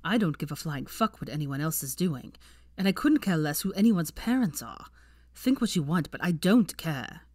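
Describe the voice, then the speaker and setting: A woman's voice: low-pitched, lively intonation, moderate pace, darkish tone, no room sound, clean studio sound. A woman reading the part of a bitter, defensive seventeen-year-old wizard boy with a cold temper in an audiobook story. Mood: angry. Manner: profane, bitter, arguing in long bursts. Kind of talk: fan fiction audiobook character dialogue.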